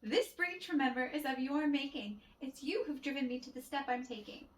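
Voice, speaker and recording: A woman's voice: sits high, animated, brisk, far from the mic, slight background noise. A woman voicing a proud, wounded young man in theatrical rhyming verse, as in a storybook play. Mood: huffy, indignant. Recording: roomy echo with music behind.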